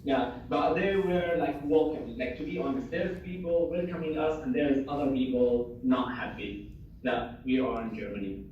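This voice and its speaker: A man's voice: mid-range voice, normal speed, far from the mic, muffled recording, slight background noise. Man on an echoey video call. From Syria. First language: Arabic. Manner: earnest, many fillers, restarts phrases. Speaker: interviewee, refugee and former assistant doctor. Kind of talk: casual conversational interview. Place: home in Germany.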